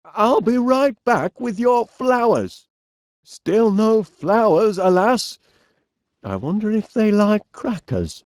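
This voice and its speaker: A middle-aged, eccentric inventor from a cartoon game with a Northern English accent. His delivery swoops up and down at an unhurried pace. He is chirpy and quaintly polite, with a bit of dithering, recorded close and dry. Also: high voice, a little mellow, dry recording, full character voice. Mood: mildly puzzled. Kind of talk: cartoon video game voice acting.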